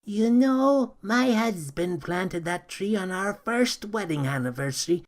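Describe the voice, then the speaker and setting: A man's voice: high-pitched, lively intonation, measured pace, voice pushed up. A man voicing a kindly old woman with a cane in a read-aloud story, speaking in a fussy, quavering way. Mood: fretful. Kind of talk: storybook character voice.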